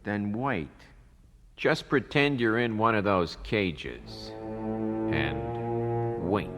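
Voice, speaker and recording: An actor playing a menacing, suspicious criminal boss in a radio drama, deliberate and smugly threatening. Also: male voice, fairly low pitch, fairly bright tone, soft background music. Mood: smug menace. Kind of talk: 1970s radio drama acting. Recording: reverberant 1970s radio drama recording.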